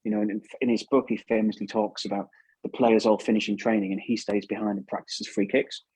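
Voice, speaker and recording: A man's voice: on the low side, steady tone, fairly quick, darkish tone, breathy edge, no room sound, poor audio. A man giving casual advice as an interview guest, chatty and repeating himself for emphasis. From England.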